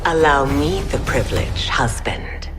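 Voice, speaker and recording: A woman's voice: rumbling low, animated, a little slow, loud music behind the voice, low-quality recording. A woman playing a ruthless, scornful warrior empress in a fantasy video game, regal and commanding in manner. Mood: conspiring. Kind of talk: cinematic fantasy game acting.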